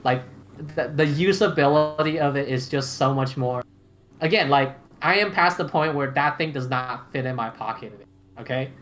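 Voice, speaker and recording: A man's voice: mid-range voice, normal speed, a bit bright. A man chatting casually as a podcast panelist, rambling emphatically in slangy, informal language. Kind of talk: casual roundtable chat. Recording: clearly noisy background ambience.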